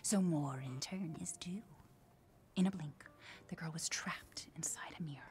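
A woman playing a firm, defensive young mother in a video game, with a hushed, dramatic delivery. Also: sits low, measured pace. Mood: ominous storytelling. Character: wife and mother, firm, defensive, reading storybook tale.

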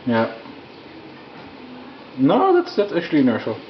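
A man in a home kitchen giving halting, casual commentary on an experiment while concentrating on it. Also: on the high side, wildly swooping pitch, muffled recording, a loud crowd. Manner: halting, restarts mid-phrase while concentrating.

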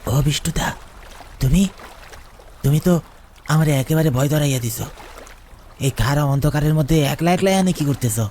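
Guy doing a scared country villager, rural east bengali dialect, low-key dark tone, urgent spooky cartoon warning, bit of noise. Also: moderate pace, pauses often, close to an everyday voice. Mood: startled. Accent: rural eastern Bengali dialect. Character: fearful rustic village man, deferential, warning of danger. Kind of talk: cartoon horror dialogue.

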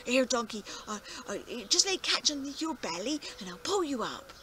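A woman's voice: on the high side, sing-song, moderate pace, noisy background. A woman doing a flustered old farmer. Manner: stammering, fretful, repeats himself. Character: kindly flustered elderly male farmer.

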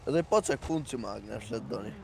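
A man's voice: medium pitch, animated, creaky, background noise. A man talking casually and swearing as a fellow player in game voice chat.

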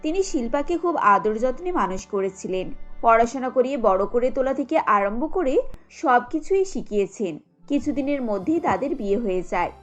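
A woman's voice: on the high side, expressive, moderate pace, faint music behind. A woman giving scripted narration of a cartoon family story.

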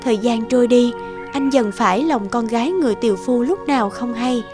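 A woman's voice: on the high side, brisk. A woman narrating a folk tale in a scripted storytelling style. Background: background music.

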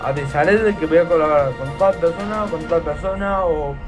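A man's voice: fairly high pitch, a little fast, music in the background. A man, answering follower questions in a casual, repetitive vlog to a webcam in a small room. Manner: chatty and repetitive, gesturing a lot with his hands. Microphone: laptop webcam.

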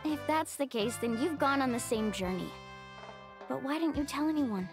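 A woman's voice: high voice, moderate pace, over music. An actress playing a kind, upbeat young woman guide in a video game, earnest and encouraging. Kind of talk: video game character acting.

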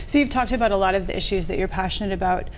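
A middle-aged woman with an American accent, moderating a television interview with composed, clearly phrased questions. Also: mid-range voice, very fast, a little hiss or hum. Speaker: TV program moderator. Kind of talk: public affairs interviewing. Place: small indoor TV studio. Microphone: lapel mic.

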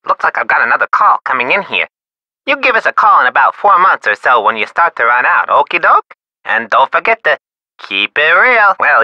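An actor playing a breezy, glib, goofy supply clerk on the phone in a video game, chipper in an exaggerated way. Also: male voice, medium pitch, bright, forceful tone, no room sound, full character voice. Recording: dull, band-limited phone call sound.

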